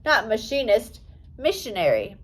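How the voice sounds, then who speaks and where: A woman's voice: a little high, sing-song, measured pace, breathy edge, heavily acted. Desperate female missionary for an audiobook, emphatic and repetitive, pleading to be understood, read by a woman narrator. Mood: desperate, insistent. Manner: emphatic, repeating key words.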